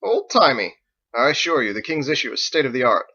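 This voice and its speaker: A man's voice: mid-range voice, big pitch swings, a little fast, sharp tone, husky, lo-fi sound, exaggerated voice. A man voicing a proud, naive knight in an audiobook, formal and prim in his speech. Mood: proudly indignant. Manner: formal, prim, indignant, strutting. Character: proud, naive armored knight, an earnest male outsider. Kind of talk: audiobook character acting.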